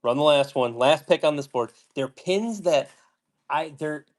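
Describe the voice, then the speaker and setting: Male host, calm voice, loose rambling live-sale patter. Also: on the high side, measured pace. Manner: rambling, filler-heavy, laid-back. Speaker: pin seller host. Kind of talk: casual live-sale chat.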